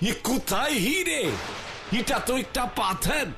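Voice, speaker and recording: A greedy, indignant landlord in a kids' cartoon. The voice is high and leaps about wildly as he blusters and repeats himself. Music runs clearly behind him. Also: animated, measured pace, exaggerated voice. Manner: blustering, repeats himself, sprinkles Hindi words. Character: scheming, excitable male landlord, greedy and indignant.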